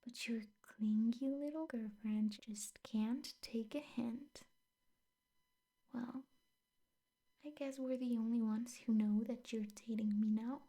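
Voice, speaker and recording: Breathy, slow-talking possessive girlfriend played by a woman. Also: a little high, fairly even intonation. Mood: jealous, scornful. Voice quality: soft, breathy, often whispered. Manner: slow, intimate, coaxing with veiled threats. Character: possessive yandere girlfriend, a teacher, sweetly menacing. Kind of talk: ASMR girlfriend roleplay.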